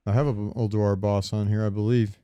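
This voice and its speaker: A man's voice: on the low side, little pitch movement, brisk, mellow, clean tone, dry recording, highs cut off. A man co-hosting a gaming podcast in casual chat, swearing now and then, close to the mic. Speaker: podcast co-host. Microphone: close podcast microphone.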